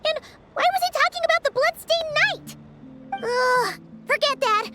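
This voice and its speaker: A woman voicing a tiny, chatty floating companion in a game, with a high, squeaky, bouncy voice. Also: expressive, a little slow. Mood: curious. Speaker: game character. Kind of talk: game cutscene voice acting. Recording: game music behind.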